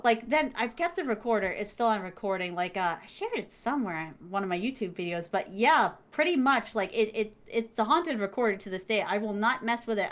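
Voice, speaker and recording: A woman guest chatting casually over a video call, animated and quick to laugh, acting out voices as she tells her stories. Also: mid-range voice. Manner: laughs often, fillers like "like". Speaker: interview guest, content creator. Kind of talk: casual chat, storytelling.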